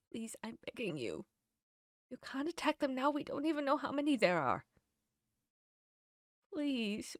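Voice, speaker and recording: A woman's voice: a little high, no echo, clean studio sound, heavily acted. A woman playing a protective, caring vampire hunter in an intimate fantasy audio roleplay, speaking directly to the listener. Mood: panicked, pleading. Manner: intimate, addressing the listener directly, shifting between hushed and urgent.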